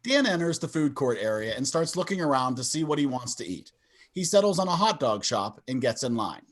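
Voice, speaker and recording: A man's voice: medium pitch, very animated, brisk. A man narrating stage directions in a scripted table read over a video call.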